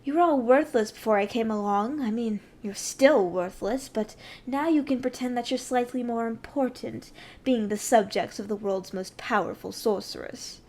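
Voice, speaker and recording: Woman acting as evil sorceress queen, higher female voice, dominant, scheming, mocking, theatrical ASMR roleplay. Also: normal speed, darkish tone. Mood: contemptuous.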